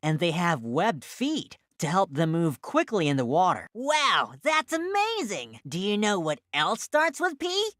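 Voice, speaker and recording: A woman's voice: fairly low pitch, huge pitch swings, unhurried, few pauses, a bit bright, dry recording, clean studio sound, heavily acted. A woman voicing an upbeat teacher character in a children's educational cartoon, with an exaggerated delivery for young children. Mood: explaining.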